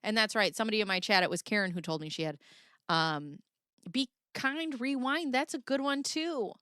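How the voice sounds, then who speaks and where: A woman's voice: fairly high pitch, lively intonation, normal speed, sharp tone, clean tone, no room sound, studio quality. A young woman with a Midwestern accent hosting a casual livestream, reading her chat aloud, laughing often and swearing freely. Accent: Midwestern American. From American Midwest.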